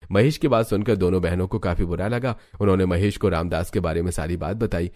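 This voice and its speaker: A man's voice: sits low, a little fast, darkish tone. A man narrating a scripted cartoon family story as a storyteller.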